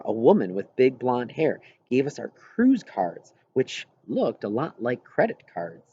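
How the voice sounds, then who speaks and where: Male narrator for fiction read-aloud: breathy, grainy tone, expressive phrasing, mildly lo-fi sound. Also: medium pitch. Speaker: audiobook narrator. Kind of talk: scripted storybook narration.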